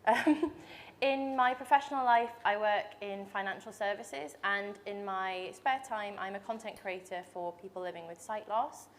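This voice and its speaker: A woman's voice: medium pitch, normal speed, slight background noise. Matter-of-fact young woman on a panel. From Dubai. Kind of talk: panel introduction and personal account.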